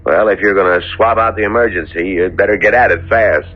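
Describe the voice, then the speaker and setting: An actor playing a jovial, gossipy hospital doctor in a 1970s radio drama. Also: male voice, deep voice, brisk, faint music behind. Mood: playful. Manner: playful, gossipy. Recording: dull old radio broadcast recording.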